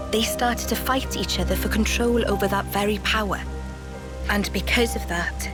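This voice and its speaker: A woman plays a wise young woman in a video game cutscene, speaking in a measured, gentle way at a middle pitch. Game music plays loudly behind her. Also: natural voice. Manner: measured, explanatory. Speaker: game character Nia.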